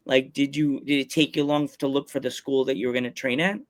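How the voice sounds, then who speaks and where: A man's voice: mid-range voice, level tone, fairly quick. A man hosting a wrestling podcast interview, enthusiastic and often restarting his questions. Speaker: podcast host. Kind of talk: casual interview.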